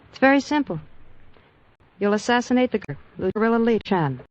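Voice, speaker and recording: A woman's voice: on the high side, big pitch swings, normal speed. An actress playing a cold, seductive female crime boss in a vintage radio noir drama, cool and imperious. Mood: seductive, calculating. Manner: cool, imperious, clipped orders. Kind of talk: 1940s radio noir acting, with first-person narration. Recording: dull old radio recording, noisy.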